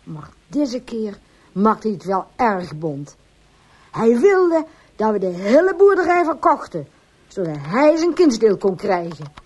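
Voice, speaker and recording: A woman's voice: fairly high pitch, big pitch swings, a little slow, a little mellow, husky, dull audio, heavily acted. An actress playing a distraught farmer's wife, telling her account earnestly and emotionally in a radio drama. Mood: earnest, explaining. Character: distraught, pleading farmer's wife confessing all.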